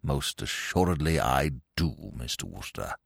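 This is a man portraying a sentimental old English gentleman in a comic storybook. The voice is low and pompous, with a solemn, grandiloquent delivery. The recording is dry and close. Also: big pitch swings, a little slow, creaky, husky, no room sound, heavily acted. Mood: earnest. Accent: British.

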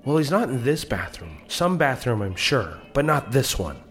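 A man playing an excitable, oblivious friend in a comic audio drama. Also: medium pitch, normal speed, faint music behind.

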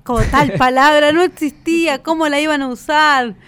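A woman's voice: high-pitched, moderate pace, a bit bright. An Argentine woman co-hosting a podcast in casual, colloquial chat. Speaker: podcast co-host.

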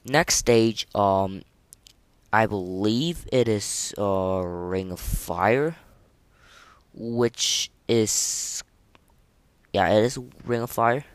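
A man hosting a casual, unscripted gaming podcast, speaking haltingly and often repeating and restarting his phrases. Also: fairly low pitch, no echo. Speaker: podcast host.

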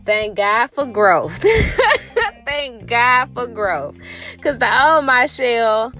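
A woman's voice: fairly high pitch, sing-song, drawn-out words, bright, forceful tone, faint music behind. A middle-aged woman telling a personal story in a rambling, reflective way, with many restarts and fillers and a spiritual turn. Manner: rambling, full of "you know" and restarts. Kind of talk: casual spoken storytelling.

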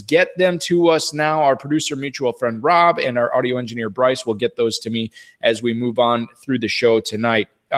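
An upbeat man hosts a sports talk show from a studio, rattling off sponsor reads and phone numbers between friendly banter. His voice sits at an ordinary middle pitch, relaxed and chatty. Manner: upbeat, chatty, reads plugs and contact details. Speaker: podcast host. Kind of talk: casual radio show hosting with sponsor reads. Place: radio studio.

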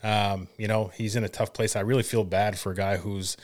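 A man's voice: fairly low pitch, flat delivery, brisk. A man hosting a casual sports talk show, addressing people in an informal, buddy-like way.

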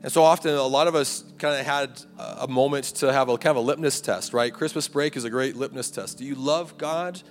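A man preaching a conversational sermon to a campus ministry, his voice raspy and a little hoarse. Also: medium pitch, fairly quick, few pauses. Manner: conversational preaching with rhetorical "right?" and "like". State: hoarse, clearing throat. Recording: live hall recording, long reverb, faint music.

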